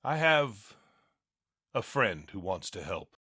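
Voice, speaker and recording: An actor playing a tired, caring middle-aged police captain in an animated comic, earnest in manner. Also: male voice, fairly low pitch, slow, slightly rough, no echo, clean studio sound, exaggerated voice. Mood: concerned.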